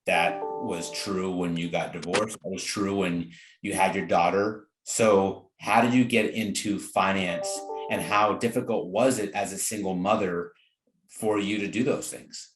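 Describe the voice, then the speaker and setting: A man's voice: sits low, fairly even intonation, unhurried, over music. A man hosting an interview podcast, speaking conversationally in an earnest, encouraging manner. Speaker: podcast host. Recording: roomy, echoing sound.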